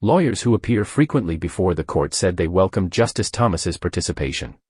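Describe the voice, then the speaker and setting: A man reading a news article aloud as the narrator of a news channel, in a steady scripted delivery. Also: low voice, fairly quick, slightly rough, no echo, studio quality.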